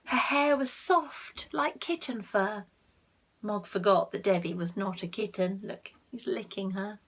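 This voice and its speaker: A woman reading a picture book aloud to children, expressive, with asides to the listeners and hushed tones for effect. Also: medium pitch, a little slow. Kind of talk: animated read-aloud with asides to young listeners.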